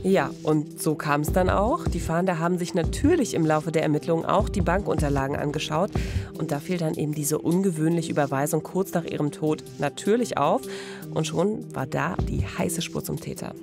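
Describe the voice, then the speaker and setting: Low voiced woman in her 30s, true crime podcast, expressive chatty, music in the back. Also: moderate pace, creaky. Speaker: podcast co-host. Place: radio studio. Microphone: studio microphone on boom arm. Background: background music.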